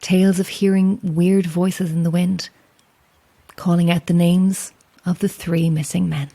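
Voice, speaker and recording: A woman playing a solitary, calm lighthouse keeper with an Irish accent in a horror audio drama, speaking in a measured, understated way. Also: fairly low pitch, noisy background. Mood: hushed, ominous. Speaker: lighthouse keeper and narrator of a tale.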